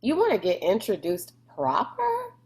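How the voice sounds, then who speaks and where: A woman voicing a gruff, boastful, bullying man in a storybook, with a folksy, mocking swagger. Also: medium pitch, wildly swooping pitch, measured pace, darkish tone, pitched above the performer's own voice.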